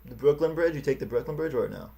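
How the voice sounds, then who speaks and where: A man's voice: mid-range voice, rapid-fire, creaky, mellow. A man interviewing a guest over a video call, easygoing, with frequent short replies. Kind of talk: casual interview.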